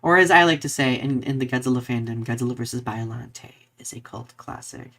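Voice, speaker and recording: A man's voice: mid-range voice, steady tone, fairly quick, vocal fry, sharp tone. A man co-hosting a live podcast in a casual, conversational way, thinking aloud as he explains things. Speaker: podcast co-host.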